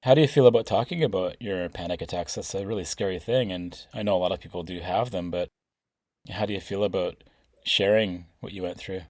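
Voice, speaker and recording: A man's voice: sits low, a little fast, a little mellow, dry recording, clean studio sound. A man hosting a podcast, asking thoughtful, reflective interview questions. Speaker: podcast host.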